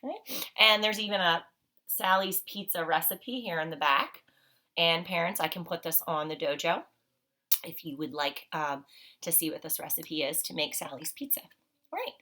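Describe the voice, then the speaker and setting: A woman's voice: low voice, normal speed, bright, forceful tone. A woman teacher leading a read-aloud picture walk for children, explaining simply and asking frequent, encouraging questions.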